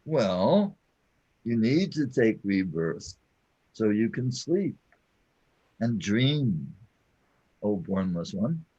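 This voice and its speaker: Man as a slow, swooping, all-knowing companion. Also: lowish voice, very animated, dark tone, breathy edge, lo-fi sound, heavily acted. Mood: patient, knowing. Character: patient, all-knowing male companion explaining the cosmos. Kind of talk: scripted audio drama acting.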